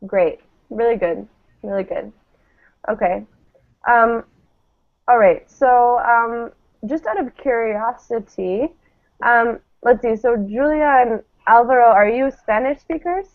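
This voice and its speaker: A woman teacher with a slightly high voice leads a pronunciation drill. She speaks slowly and encouragingly, setting each model word apart. The sound is thin and narrow, like a phone line. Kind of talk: live online lesson, drilling word pairs. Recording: thin phone-like call audio.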